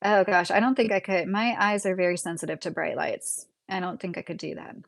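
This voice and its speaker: A woman's voice: on the low side, steady tone, fairly quick, creaky, dry recording. A woman in her late thirties with a Midwestern American accent, hosting a casual livestream and answering viewer comments in a rambling, conversational way. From Southern Indiana, USA. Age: late 30s. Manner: rambling, conversational, breaks into chuckles. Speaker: YouTube livestream host.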